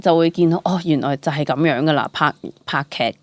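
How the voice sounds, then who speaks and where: A young woman with a Hong Kong Cantonese accent shares stories as an actor being interviewed. Her voice sits low for a woman, and her telling is chatty and expressive, sprinkled with English words. The sound is close and dry. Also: a little fast, no echo. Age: young adult. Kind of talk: casual interview storytelling.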